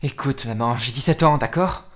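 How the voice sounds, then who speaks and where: A man's voice: medium pitch, normal speed, fairly bright tone, slight background noise, natural voice. A man playing an insolent, bitter seventeen-year-old son defying his mother in a fan-dubbed TV drama, casual, sarcastic and confrontational. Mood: bitter, exasperated. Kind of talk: amateur fan dub of TV drama. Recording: music under the voice. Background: music.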